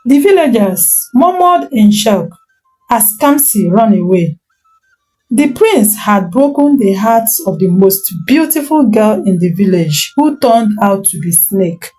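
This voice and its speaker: Woman, storyteller, bedtime folktale narration, unhurried, faint music behind. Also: medium pitch, low-quality recording. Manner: slow, expressive bedtime-story telling.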